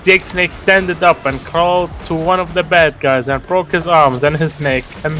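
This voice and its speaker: A man reading a story aloud in a halting, deliberate way, with casual asides and an amused manner. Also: a little high, steady tone, pushed and bright, a little hiss or hum. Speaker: reader of fan fiction.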